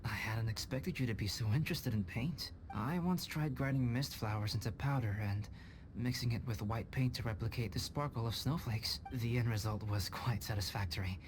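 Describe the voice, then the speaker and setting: A man voicing a calm, scholarly young alchemist in a video game, measured, formal and polite. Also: mid-range voice, noisy background, lightly acted. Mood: gentle. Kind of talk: video game cutscene voice acting. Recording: game music behind voice.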